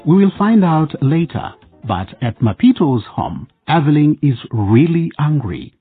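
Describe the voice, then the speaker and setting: Radio drama narrator: man, animated, husky, direct address, music underneath. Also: on the high side, a little slow. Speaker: narrator, programme presenter. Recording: phone-like sound with music behind.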